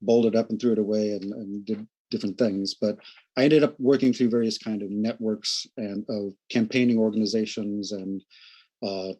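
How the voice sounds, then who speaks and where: Mellow low-voiced man, rambling academic. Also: steady tone, moderate pace. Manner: casual, rambling with fillers. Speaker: panel speaker, anthropologist. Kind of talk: academic panel talk.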